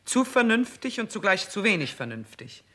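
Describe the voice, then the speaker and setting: A woman's voice: medium pitch, very animated, normal speed, a bit bright. An actress playing a cold, unsparing queen in a 1960s radio drama, severe and dismissive as she pronounces judgement.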